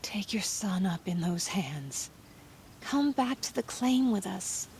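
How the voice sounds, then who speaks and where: A long-suffering wife in a fantasy game, forgiving but knowing. She speaks slowly with a lively, swooping melody, and a faint rumble sits behind her. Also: low-quality recording. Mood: pleading. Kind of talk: video game voice acting.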